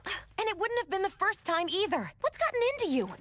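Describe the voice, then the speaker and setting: A woman's voice: very high voice, sing-song, brisk, a bit bright, no echo, heavily acted. An actress voicing a defiant young woman rebel fighter in a video game, blunt and challenging. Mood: indignant. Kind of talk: video game cutscene acting.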